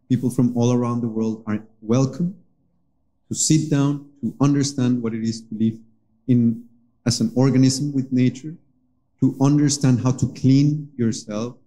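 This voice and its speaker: A man in his thirties from Colombia speaks earnestly into a stand microphone, stopping often as he searches for words. His voice is very dark and mellow, with a middling pitch. He sounds like he is in a small cafe. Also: rough recording. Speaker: musician hosting a livestream jam. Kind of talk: casual spoken introduction to a livestream. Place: small cafe with stage, indoors. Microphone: vocal mic on a stand.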